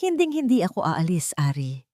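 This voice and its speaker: A woman's voice: fairly low pitch, huge pitch swings, normal speed, dark tone, dry recording, studio quality. A woman voicing a devoted, resolute young man in love in an audio drama. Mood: tender, resolute. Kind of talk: Tagalog audio drama storytelling.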